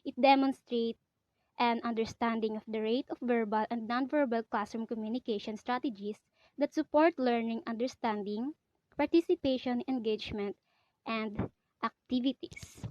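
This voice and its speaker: A woman's voice: a little high, normal speed, darkish tone, no room sound, muffled recording. A young woman with a Filipino accent, whose first language is Filipino, presents a lesson on teaching in an earnest way, often restarting and correcting herself mid-sentence. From Philippines.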